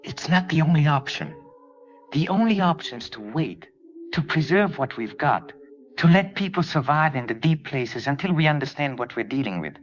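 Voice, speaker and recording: Higher-pitched insistent dissenter played by a man, noisy link. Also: lively intonation, moderate pace, close to an everyday voice. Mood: insistent, pleading. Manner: earnest, insistent. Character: pleading, principled male dissenter in crisis meeting. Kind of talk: audio drama dialogue. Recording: dull, clearly noisy radio link.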